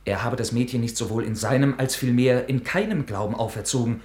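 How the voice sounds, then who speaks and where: An actor playing a proud, impetuous young knight, curt and ironic, in a 1950s German radio play. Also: male voice, medium pitch, fairly quick, a little mellow, lightly acted. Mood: grave. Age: young adult.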